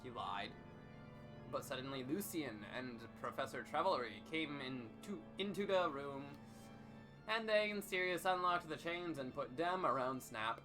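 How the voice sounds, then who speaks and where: A man's voice: on the high side, lively intonation, a little slow, over music, rough recording. A man hosting an over-dramatic comedic reading of fan fiction, theatrical and emphatic. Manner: theatrical, emphatic, with sudden shouts.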